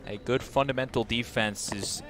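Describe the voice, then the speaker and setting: A man's voice: medium pitch, fairly even intonation, normal speed, a bit bright. A young American man giving live color commentary on a radio baseball broadcast. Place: outdoor baseball stadium broadcast.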